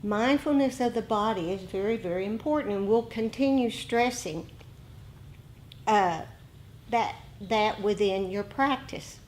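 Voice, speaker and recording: A woman teaches meditation in a dharma talk. She speaks very slowly, in short deliberate phrases with pauses. There is steady background noise in the recording. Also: mid-range voice.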